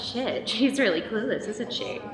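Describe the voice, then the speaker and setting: Woman acting as high-pitched, scheming young mean girl. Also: animated, a little slow, crowd noise. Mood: snide, scheming. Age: young adult. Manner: sugary and coaxing, switching to snide. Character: scheming, two-faced young woman student, sugary then spiteful. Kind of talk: acted audio drama dialogue.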